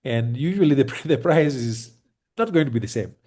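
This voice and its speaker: A Kenyan man with a Kenyan accent giving relaxed, reassuring advice on a video call, with lots of fillers and restarts. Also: mid-range voice, moderate pace. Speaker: host advising on moving to Kenya. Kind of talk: casual advice chat on a call. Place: home in Kenya.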